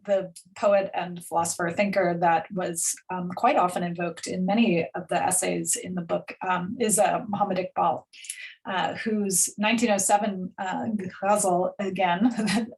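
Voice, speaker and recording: Woman on a video call talking about a book, lowish voice, lots of ums, measured. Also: poor audio. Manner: measured, with frequent ums and soft laughs. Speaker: panelist at a book talk. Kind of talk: academic commentary read from notes.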